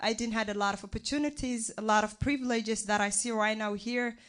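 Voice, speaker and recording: A woman's voice: fairly high pitch, fairly even intonation, brisk. Young Somali-accented woman, guest on stage. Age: young adult. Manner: warm, joking rapport with the audience. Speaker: guest activist. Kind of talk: speaking on stage as a talk show guest. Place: live art venue with audience.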